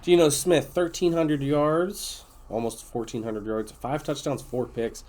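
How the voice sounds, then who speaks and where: A man's voice: on the high side, steady tone, measured pace, a little mellow, slight background noise. Nasal, congested man commentating a game. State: congested after being sick. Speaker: video game streamer and host. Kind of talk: casual gameplay commentary.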